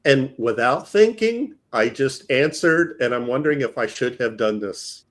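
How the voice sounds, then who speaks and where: Male show host, mid-pitched, halting and self-mocking, laughs at himself. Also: animated. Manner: hesitant, self-deprecating, chuckling. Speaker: podcast host. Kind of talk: casual live-stream chat.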